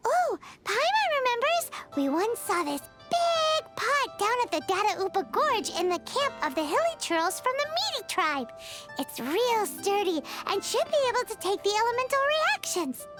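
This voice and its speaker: A woman voicing a small, perky, blunt childlike fairy companion in a video game, with a squeaky voice. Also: very animated, moderate pace. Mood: eager. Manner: refers to self in third person, blunt. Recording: music behind the voice. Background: background music.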